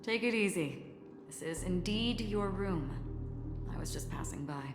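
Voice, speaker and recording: A woman voicing a calm, solemn wandering swordswoman in a video game, measured, polite and cryptic. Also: medium pitch, lightly acted. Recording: echoey reverb, music behind.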